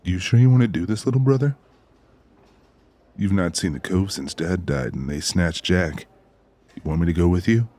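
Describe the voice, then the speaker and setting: A man's voice: sits low, moderate pace, long pauses, darkish tone, lightly acted. A man playing a guarded, laconic lone-wolf older brother in an audiobook, terse and stoic. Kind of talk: audiobook character acting.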